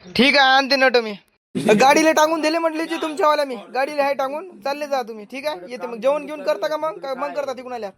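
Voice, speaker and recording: A woman acts out a brisk farmer's wife from a rural comedy cartoon. She speaks high and fast, with a sassy, scolding manner and a Vidarbha Marathi accent. Crowd-like chatter sits behind her voice. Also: close to an everyday voice. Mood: teasing scolding.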